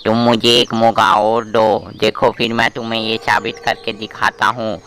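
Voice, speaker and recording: A man voicing an eager, insecure young lion in an animated fable, insistent and keen to prove himself. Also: fairly low pitch, moderate pace, soft background music. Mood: defensive, insistent. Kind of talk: animated moral-story acting.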